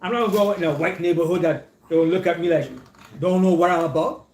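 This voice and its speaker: A man leads a relaxed group discussion. His voice sits a little high for a man. He keeps checking in with his listeners, and the room around him echoes. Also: quick pace. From Caribbean. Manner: keeps checking in with "you understand?".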